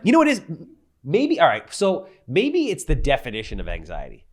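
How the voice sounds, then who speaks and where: A man's voice: medium pitch, huge pitch swings, fairly quick. A man in his forties hosting a casual podcast chat, blunt and profane, teasing his guests. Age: 40s. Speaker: podcast host.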